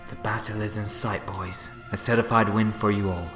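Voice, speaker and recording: An actor playing a gleefully smug, scheming time-travelling villain in a fan audio drama, theatrical, wheedling and gloating. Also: male voice, fairly low pitch, flat delivery, normal speed, lightly acted. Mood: mock-jovial. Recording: echoey long reverb, music behind.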